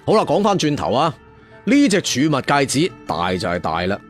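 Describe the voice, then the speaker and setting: Male storyteller, slightly high and very animated, chatty dramatic fantasy narration over music. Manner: chatty storyteller asides, drawn-out particles. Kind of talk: colloquial dramatic scripted narration of a fantasy tale. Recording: music behind the voice. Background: background music.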